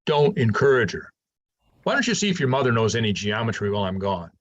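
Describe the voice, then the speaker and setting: Older male professor, played by a man: husky, very varied intonation, patient mentor with gentle wit, stage drama, slightly dull recording. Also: lowish voice, big pitch swings, quick pace. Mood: wry. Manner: patient, explanatory, conversational. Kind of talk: staged reading of a science fiction play.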